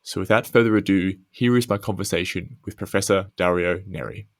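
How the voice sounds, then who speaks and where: A man with an Australian accent hosting a podcast, reading a formal introduction and asking measured interview questions. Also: deep voice, no echo. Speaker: podcast host.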